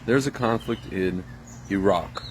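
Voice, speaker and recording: A low-voiced man from Ukraine talks straight to his viewers, circling his point with fillers and restarts. His voice sits deep, and a faint noise hums behind him. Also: a little slow. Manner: rambling, addresses viewers directly, many fillers and restarts. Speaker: vlogger. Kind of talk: casual opinion monologue to camera.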